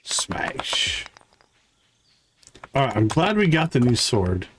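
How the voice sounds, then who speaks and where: A man's voice: medium pitch, very animated, slow, fairly bright tone. Guy streaming a game, playful, voice bounces around a lot, bit of clicky noise behind him. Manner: playful, talks to himself, chants little sound words. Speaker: livestreamer playing a video game. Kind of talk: casual gameplay commentary.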